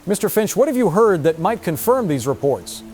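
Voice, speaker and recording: Man as solemn news anchor, slightly high voice. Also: sing-song, normal speed, a little mellow, slightly rough, full character voice. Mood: grave, urgent. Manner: formal, solemn. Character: grave male TV news anchor in a disaster. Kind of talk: scripted newscast drama.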